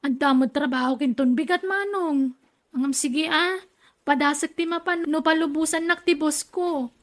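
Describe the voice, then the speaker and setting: A woman plays a devoted young aunt with a clearly high voice. She speaks in Ilocano radio-drama style with an Ilocano accent, dutiful and self-sacrificing. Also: moderate pace, close to an everyday voice. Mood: polite, earnest.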